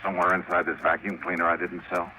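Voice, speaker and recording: An actor playing a wry, hard-boiled insurance investigator in a 1950s radio drama. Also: male voice, low-pitched, completely flat delivery, fast, heavy background noise, close to an everyday voice. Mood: wry, dry. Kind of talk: 1950s radio drama acting and first-person narration.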